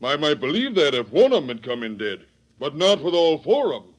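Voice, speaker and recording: An actor playing a gruff, blunt Old West stagecoach boss with an American accent and rustic speech, in 1930s radio western style. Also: male voice, on the high side, sing-song, brisk. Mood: grim, explaining.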